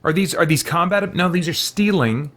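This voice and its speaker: A man's voice: a little high, normal speed, a bit bright. Man thinking aloud while gaming. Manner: muttering to himself, hesitant, exclaiming mild oaths. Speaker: gaming video host. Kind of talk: casual let's-play commentary, thinking aloud.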